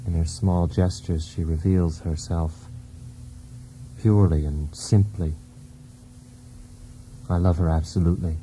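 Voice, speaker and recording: An actor playing a wounded, brooding husband in a poetic radio drama, speaking in a deliberate, intense, theatrical way. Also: male voice, low voice, fairly even intonation, warm tone, slight background noise, rough recording. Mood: brooding, possessive. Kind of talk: poetic monologue in a radio play. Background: music.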